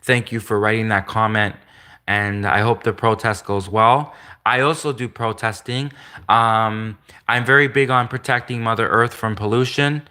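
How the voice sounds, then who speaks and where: A man hosting a live stream, talking in a rambling, earnest way, often repeating himself, with a soft voice that some hear as gender-ambiguous. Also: lowish voice, fairly even intonation, normal speed, clear, resonant tone. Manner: repetitive, earnest, restates points often; greets viewers and reads comments aloud.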